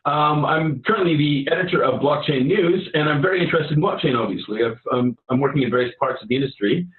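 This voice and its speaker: A man with a Canadian accent giving an informal project pitch in a conversational, somewhat halting way. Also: narrow, tinny audio. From Vancouver, Canada. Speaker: editor and hackathon participant pitching a project. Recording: roomy, echoey sound.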